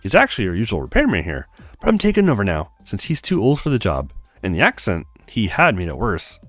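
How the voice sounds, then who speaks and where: A man acts out a young female repair worker from a visual novel, frank, friendly and teasing. The pitch leaps up and down a lot, and the sound is dry and close, with faint music behind. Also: wildly swooping pitch, fast, fairly bright tone, no echo, heavily acted. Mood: cheerful. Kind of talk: acted visual novel read-aloud.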